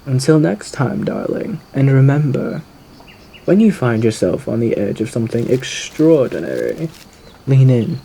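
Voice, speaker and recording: Suave gentleman radio demon, swooping intonation, mellow dark tone, theatrical, flirtatious, faintly menacing, audio roleplay. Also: medium pitch, very animated, a little slow, vocal fry, slight background noise. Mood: charming, ominous. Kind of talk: theatrical ASMR character roleplay monologue.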